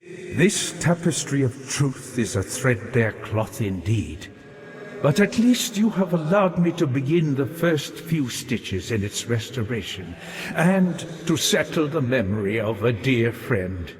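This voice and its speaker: A weary, wryly reflective scholar from a video game, heard in long hall reverb. He speaks slowly and theatrically in measured, literary phrases. His pitch sways widely, giving the melancholy a grand sweep. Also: big pitch swings. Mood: courteous, grateful. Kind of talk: scripted video game character dialogue.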